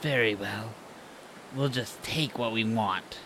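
A man's voice: fairly low pitch, takes it slowly, background noise. A man voicing a scruffy ronin bandit, menacing and wheedling, with an oily, taunting manner.

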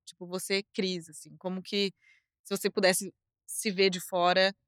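A woman hosting an interview podcast, reacting often with short, warm replies and laughs. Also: mid-range voice, level tone, normal speed, clear, resonant tone, dry recording, studio quality. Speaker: podcast host. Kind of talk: casual interview chat.

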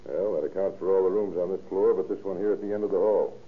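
An actor playing a gruff, brisk middle-aged police captain in an old-time radio crime drama, clipped and commanding. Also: male voice, sits low, steady tone, lightly acted. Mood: businesslike. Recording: muffled, lo-fi old radio recording.

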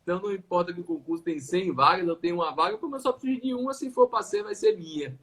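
A man's voice: high-pitched, racing, fairly bright tone. A man from Salvador, a labor judge talking in a live online chat in a reflective, conversational way, sometimes restarting his sentences. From Salvador, Bahia, Brazil.

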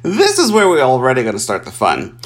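A man's voice: mid-range voice, fairly quick. A man in his forties from Puerto Rico, telling his life story in a casual, chatty way and laughing often. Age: 40s. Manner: animated and chatty, laughs often, addresses listeners as y'all.

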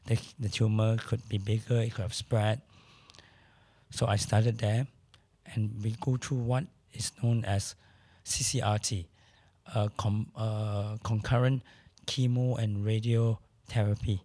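Hoarse man, dry and candid. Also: on the low side, level tone, unhurried, mellow, dry recording. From Malaysia. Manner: candid, matter-of-fact, occasional restarts. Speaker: podcast guest. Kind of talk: casual conversation.

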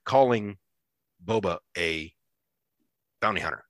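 A man co-hosting a podcast, talking casually about a series as a fan, often pausing and restarting his thoughts. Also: sits low, expressive, a bit bright, dry recording, clean studio sound. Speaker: podcast co-host.

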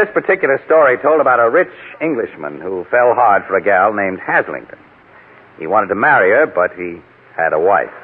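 A confident American photographer-detective in a 1940s radio mystery. He is brisk and probing, with a rough-edged, lively swinging voice. The vintage recording sounds muffled. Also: deep voice. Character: shrewd, confident newspaper photographer sleuth. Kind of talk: 1940s radio crime drama acting. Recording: dull old radio recording.

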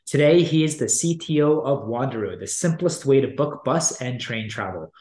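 A male podcast host with an average-pitched voice asks long, friendly questions. He tends to pile up fillers and rephrase himself. The recording has a roomy echo around him. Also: animated, moderate pace, a little mellow. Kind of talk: casual interview hosting.

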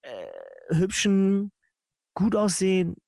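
A man's voice: sits high, animated, takes it slowly, a little mellow, dry recording, clean studio sound. A young man co-hosting a casual podcast, joking and teasing, with stammered restarts. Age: young adult. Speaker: podcast co-host. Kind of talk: casual chat.

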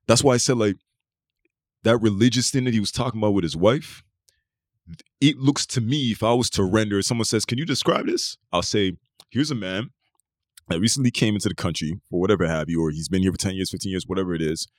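Low-voiced argumentative man. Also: fast talker, no echo, studio quality. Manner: long-winded, argumentative, restarts and repeats phrases. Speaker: podcast host. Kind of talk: casual podcast debate.

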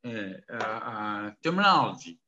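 A man speaking slow, halting, broken Portuguese as a non-native speaker, answering interview questions with many restarts. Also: lowish voice, sing-song, lo-fi sound, crowd noise. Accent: foreign, non-native Portuguese. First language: not Portuguese. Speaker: interviewee, businessman.

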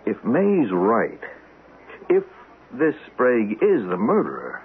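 A skeptical, excitable man with a very lively delivery that stumbles and repeats words. He belongs to an old radio mystery, heard through a thin, hissy vintage broadcast. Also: mid-range voice, narrow, tinny audio. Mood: urgent, insistent. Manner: halting, stammering, repeating words. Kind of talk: old-time radio mystery drama acting.

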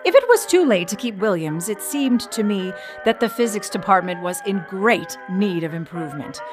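A woman's voice: medium pitch, lively intonation, moderate pace, close to an everyday voice. Outspoken early-1900s woman trustee, played by an actress, indignant and articulate, dramatic letter reading with prominent music behind. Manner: emphatic, articulate, tart.

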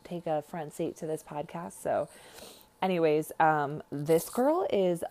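A woman with a low voice and a slight lisp talks as a podcast host. She rambles casually, putting herself down with dry sarcasm and speaking bluntly. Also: unhurried, dark tone. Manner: rambling, self-deprecating, sarcastic, blunt with swearing. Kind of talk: casual true crime podcast monologue.

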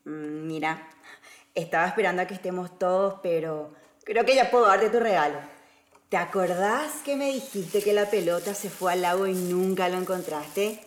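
A woman's voice: fairly low pitch, normal speed, close to an everyday voice. A woman playing a tender, reassuring mother in an audio drama.

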